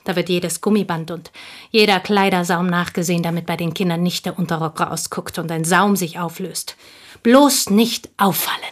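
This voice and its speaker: An actress playing a sober provincial woman, a devoted childhood confidante, in a German radio drama, speaking in a measured, wistful way. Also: on the low side, lightly acted. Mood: dryly observant. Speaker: actor in a radio play. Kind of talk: German radio drama acting, reflective storytelling.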